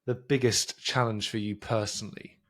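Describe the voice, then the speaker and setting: A man's voice: on the low side, little pitch movement, normal speed, fairly bright tone. A man hosting a podcast, talking loosely and chattily, often restarting his sentences as he thinks aloud. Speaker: podcast host. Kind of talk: casual interview talk.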